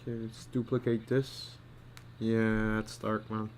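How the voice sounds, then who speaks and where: A man thinking aloud casually as he gives an art demonstration, murmuring to himself in an unhurried way as he works. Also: fairly low pitch, level tone, a little mellow, slight background noise. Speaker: storyboard artist giving a drawing tutorial.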